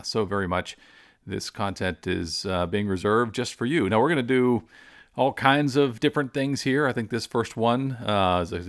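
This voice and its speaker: Rambling, lower-voiced male podcast host. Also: a bit bright. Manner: rambling, filler-heavy, friendly delivery. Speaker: podcast host and game master. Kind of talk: casual thank-you address to supporters.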